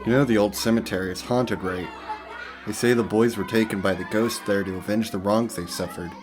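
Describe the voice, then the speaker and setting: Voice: deep voice, steady tone, brisk, music in the background, natural voice. An actor playing an eager, earnest teenage boy ghost hunter in a horror story, insistent and matter-of-fact. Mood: ominous, earnest.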